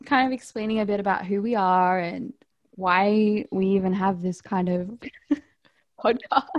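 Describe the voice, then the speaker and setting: A woman's voice: medium pitch, a little slow. A woman, co-hosting a podcast over a phone call, upbeat and laughy, full of "like". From Australia. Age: 20s. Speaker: podcast co-host. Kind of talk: casual chat.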